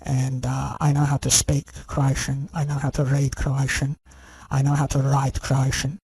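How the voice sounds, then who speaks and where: Gravelly, husky man ranting. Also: medium pitch, completely flat delivery, unhurried, a little mellow, dry recording. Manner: rambling, heavy on "you know" and "okay?"; scornful and insistent. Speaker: channel host. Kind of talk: ranting monologue to camera.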